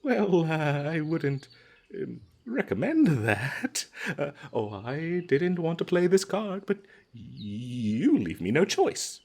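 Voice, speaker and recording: A man playing a nervous captured adventurer in a comedic fantasy roleplay, polite and halting, trying to bluff and placate. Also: fairly high pitch, sing-song, vocal fry, full character voice.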